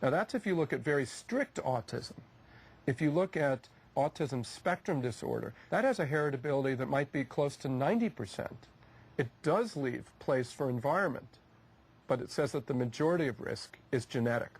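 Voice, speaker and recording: A man speaking as a scientific expert, explaining in a measured manner in an interview. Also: mid-range voice, animated, darkish tone, slightly rough.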